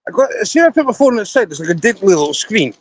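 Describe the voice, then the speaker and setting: A man's voice: sits high, big pitch swings, fast talker, no echo. A Scottish man chatting to viewers on a casual live stream outdoors by a river, rambling as he talks into his phone. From Scotland. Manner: rambling, chatty, talking to viewers while walking.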